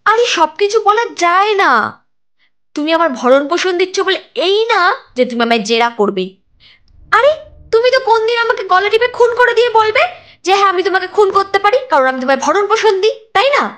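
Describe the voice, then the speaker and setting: A woman voicing a secretive young ghost wife who is evasive and sharp in her replies, in an animated cartoon. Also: very high-pitched, normal speed. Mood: irritated, defensive. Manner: evasive, irritated, sharp retorts.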